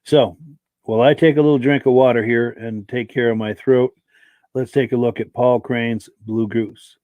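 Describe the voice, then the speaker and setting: A man's voice: mid-range voice, fairly even intonation, moderate pace, no echo, narrow, tinny audio. A man hosting a live podcast, reading out viewer comments and thanking each viewer by name, with a dry throat and a voice that is giving out. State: dry throat, losing his voice. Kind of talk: live-stream chat.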